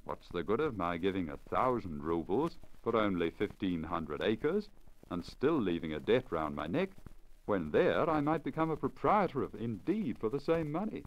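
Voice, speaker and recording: Man reading as eager peasant dreamer, deep, crackly. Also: sing-song, moderate pace. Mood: calculating, eager. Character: ambitious, land-hungry peasant man musing to himself in a storybook. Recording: crackly, dull 1950 lacquer disc recording.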